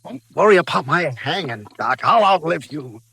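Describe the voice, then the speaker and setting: A man in a vintage radio western, playing a surly, wounded, defiant outlaw prisoner with a gravelly, raspy voice. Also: fairly high pitch, very animated, moderate pace, bright, forceful tone, no echo, heavily acted.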